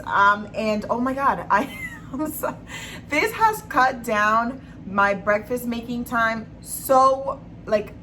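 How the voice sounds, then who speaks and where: A young woman with a raspy, hoarse voice, casually chatting through a favorites vlog with lots of enthusiasm. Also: medium pitch, bright, forceful tone, slight background noise. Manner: chatty, enthusiastic, many fillers. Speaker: beauty vlogger.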